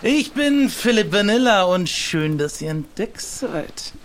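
A man playing a talkative, skittish pirate in an improvised audio adventure play, excitable and prone to repeating himself. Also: high voice, slow, heavily acted. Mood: chatty, inviting. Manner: talkative, excitable, hesitant repetitions. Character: chatty, skittish pirate ship driver and chili lover.

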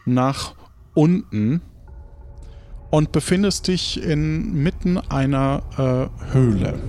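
A man's voice: fairly high pitch, animated, slow pace, darkish tone. A man narrating an improvised tabletop game as game master, hesitantly piecing his descriptions together. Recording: echoey reverberant room with audible noise.

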